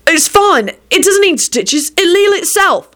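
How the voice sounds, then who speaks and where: A woman's voice: mid-range voice, lively intonation, normal speed. A woman voicing a shy, awkward young male wizard who is touchy and sullen, in an audiobook story. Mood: defensive.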